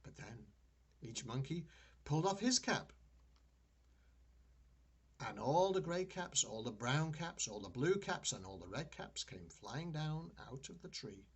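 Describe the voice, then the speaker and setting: An older man, a grandfather, reading a children's story aloud in an expressive, deliberate way. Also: a little high, breathy edge.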